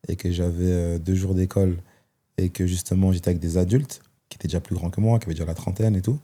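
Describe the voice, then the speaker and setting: A man, telling his life story as a guest, thoughtful and hesitant with many pauses and fillers. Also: deep voice, fairly even intonation, warm tone. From Belgium. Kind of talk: personal testimony in conversation.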